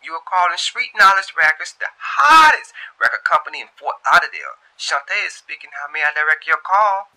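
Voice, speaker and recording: A man's voice: a little high, expressive, brisk, few pauses, pushed and bright, pitched above the performer's own voice, full character voice, poor audio. A man voicing a sassy, headstrong young woman in love, in the colloquial dialogue of an audio story. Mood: businesslike. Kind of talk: acted dialogue in a narrated story.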